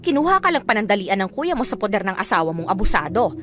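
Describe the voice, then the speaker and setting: A fed-up married woman with a Filipino accent in a radio drama. She fires off sarcastic accusations at a fast clip, her highish pitch leaping about. Faint music plays underneath. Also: big pitch swings, fairly bright tone. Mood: scolding. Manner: sarcastic, scolding, confrontational. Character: fed-up, sharp-tongued married sister-in-law, resentful and accusing. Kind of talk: Tagalog radio drama acting.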